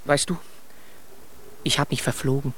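An actor in a children's radio play voicing a proud but dreamy, scatterbrained stork with a good-natured, musing manner. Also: male voice, medium pitch, flat delivery, normal speed, a bit bright, breathy edge, no echo. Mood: musing, sheepish.

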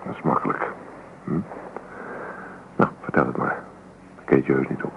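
An actor playing a stubborn, sardonic young husband in a naturalistic radio drama, gruff and dismissive. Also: male voice, a bass voice, steady tone, normal speed. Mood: gruff, challenging. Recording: old radio play, phone-like muffled sound, noisy.

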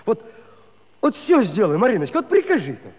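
High, swooping lovesick village lad. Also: male voice, wildly swooping pitch, fairly quick, darkish tone, husky, exaggerated voice. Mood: lovesick, flustered. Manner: stammering, pleading, eager. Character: lovesick, clumsy, pleading young village lad. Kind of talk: theatrical musical comedy acting. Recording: dull old recording with long reverb.

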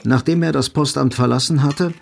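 A man's voice: mid-range voice, a little fast, creaky. A man narrating literary fiction as part of an audio drama.

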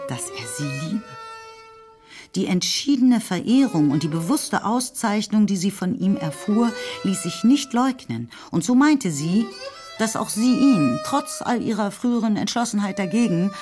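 A woman giving scripted literary narration in a measured storytelling manner. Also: medium pitch, warm tone.

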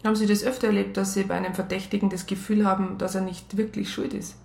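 An actress playing a strict police psychologist who narrates a radio crime drama, matter-of-fact. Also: female voice, mid-range voice, little pitch movement, fast, vocal fry, darkish tone, a little hiss or hum, close to an everyday voice. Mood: stern, formal. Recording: roomy radio play studio sound.

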